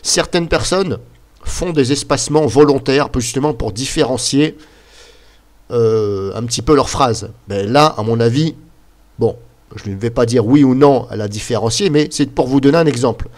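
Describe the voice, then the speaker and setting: A man's voice: mid-range voice, moderate pace, darkish tone. Chatty man with a slight cold. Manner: rambling, chatty, full of "bah" and "voilà", points at the screen. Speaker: YouTuber. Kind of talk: casual screen-recording explainer.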